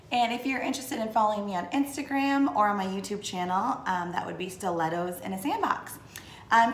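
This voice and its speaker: A woman's voice: mid-range voice, a little hiss or hum. A woman in her thirties, originally from Sri Lanka, chatting casually to camera in a vlog, rambling with lots of fillers. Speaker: blogger and vlogger. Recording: echoey room.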